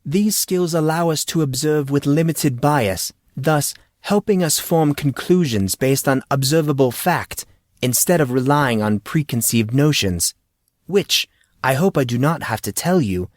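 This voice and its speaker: A formal and pedantic male history teacher acted out by a man in an audiobook. He speaks in careful, wordy sentences with genial scholarly authority, pitched a little above a narrator's register. The recording is dry and close. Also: measured pace, dry recording, lightly acted. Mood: earnest, lecturing.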